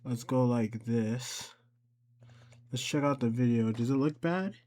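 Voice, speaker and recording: A man presenting an informal step-by-step software tutorial, rambling a little and thinking out loud as he goes. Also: mid-range voice, a little slow. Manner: informal, rambling, thinks aloud and talks to himself. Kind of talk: casual screen-recorded software tutorial.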